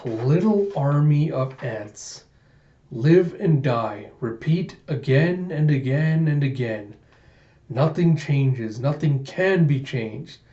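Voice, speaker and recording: A death-obsessed male villain from a comic story, acted out by a man, speaking in grandiose, brooding monologue. The mellow voice sits in a dull, echoey room. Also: mid-range voice, natural voice. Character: morbid, nihilistic male photographer obsessed with death and beauty.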